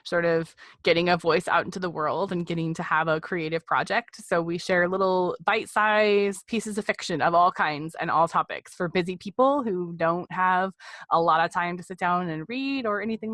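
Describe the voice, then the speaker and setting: A woman's voice: fairly low pitch, a bit bright, no room sound. A middle-aged woman chatting casually as a guest in a podcast interview.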